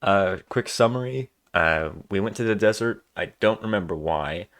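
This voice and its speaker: A man's voice: lowish voice, animated, normal speed, a bit bright, no echo. A man giving casual let's-play commentary in an offhand, hesitant way that tends to trail off. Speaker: video game player commentating.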